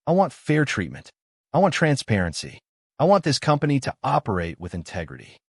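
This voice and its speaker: A man voicing an earnest, principled male worker in an audio drama, speaking deliberately. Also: medium pitch, huge pitch swings, husky, dry recording, clean studio sound, voice pushed up, heavily acted. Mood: earnest, resolute.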